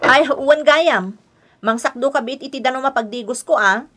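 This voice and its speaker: A woman acting a lazy, scolding young wife and harsh aunt in a radio drama, sharp and complaining. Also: high voice, moderate pace. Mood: bossy, demanding.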